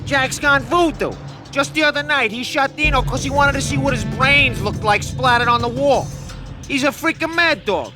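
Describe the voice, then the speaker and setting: An actor playing a brash, panicky mob gangster in a game, blustering and shouting. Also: male voice, extremely high pitch, normal speed. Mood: fearful. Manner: brash, shouting, thick tough-guy bluster. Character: panicky loudmouth mob gangster, middle-aged. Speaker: game character. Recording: game music underneath.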